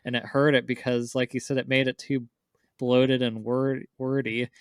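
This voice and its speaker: A man with a clear, resonant voice talks casually as a podcast co-host. His pitch stays fairly flat as he rambles through informal explanations, and the sound is dry and close. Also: moderate pace, no echo. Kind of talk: casual chat, discussing visual novels.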